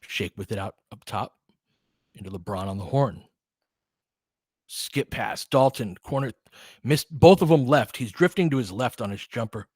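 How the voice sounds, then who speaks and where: A man's voice: mid-range voice, lively intonation, moderate pace, long pauses, darkish tone, husky, dry recording. A man hosting informal live basketball commentary, chatting conversationally with fans and calling plays in clipped phrases. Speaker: host doing live play-by-play for fans. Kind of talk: casual basketball commentary mixed with chat replies.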